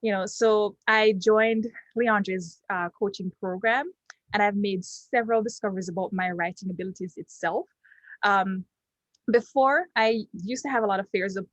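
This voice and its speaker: A young Jamaican woman, Patois her first language, talks through a testimonial in her Jamaican accent at a middling pitch. She halts often, filling gaps with ums and restarting phrases. The recording is dry, with no room sound. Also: no room sound, poor audio. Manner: hesitant, many ums and restarts. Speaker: client giving a testimonial. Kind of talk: unscripted testimonial.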